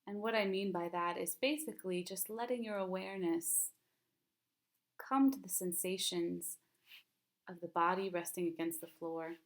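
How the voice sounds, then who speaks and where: A woman leading a guided mindfulness meditation as a teacher, speaking gently and calmly, with unhurried phrasing and long pauses. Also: fairly low pitch.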